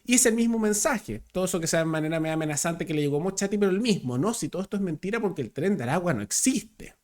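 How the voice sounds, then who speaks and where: A man's voice: high-pitched, lively intonation, fast, darkish tone. A man hosting a political commentary channel, delivering a scripted monologue with emphatic stress and ironic asides.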